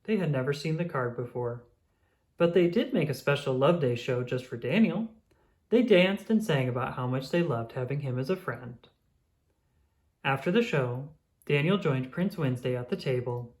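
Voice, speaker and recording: A children's read-aloud narrator telling a picture-book story. The delivery is highly expressive, with pitch rising and falling freely, and it is clearly aimed at young listeners. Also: normal speed.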